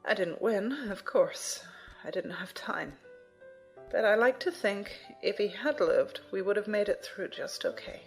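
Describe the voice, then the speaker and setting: A woman's voice: medium pitch, measured pace, soft background music, lightly acted. A woman playing a sentimental, demanding widowed mother of a grown son in a sci-fi audio drama, emotive and dramatic. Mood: wistful, tender.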